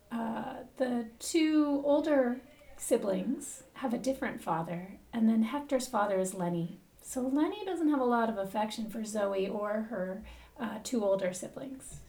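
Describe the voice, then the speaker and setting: A woman's voice: fairly high pitch, a little mellow, recorded from a distance. A woman hosting a conversational book podcast, thoughtful and reflective, recorded in a roomy space away from the mic. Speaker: podcast host.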